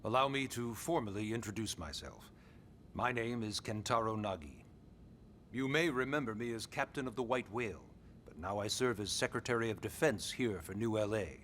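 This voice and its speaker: An actor playing a formal, courteous middle-aged government secretary with an authoritative manner in a video game. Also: male voice, medium pitch, fairly bright tone, natural voice. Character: formal, commanding male government secretary and former captain. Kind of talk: video game cutscene voice acting. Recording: clear background ambient noise.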